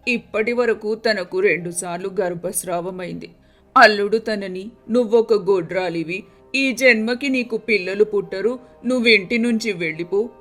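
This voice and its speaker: A humble old village woman from a moral-story cartoon, voiced by a woman, deferential and plaintive, in a middling pitch. Soft music sits behind the voice. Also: lightly acted.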